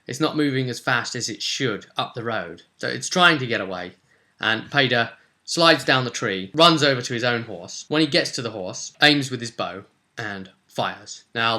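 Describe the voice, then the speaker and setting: A man's voice: mid-range voice, sharp tone. A man, casually recounting a tabletop roleplay session as game master in a rambling, colloquial way.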